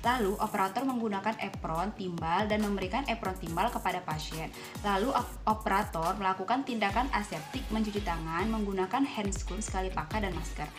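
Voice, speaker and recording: A woman's voice: medium pitch, a little fast. A young adult woman giving a polite, step-by-step instructional demonstration as a student. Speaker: dental student demonstrating a clinical procedure. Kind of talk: instructional skills demonstration with role-played patient dialogue. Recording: roomy echo, music behind.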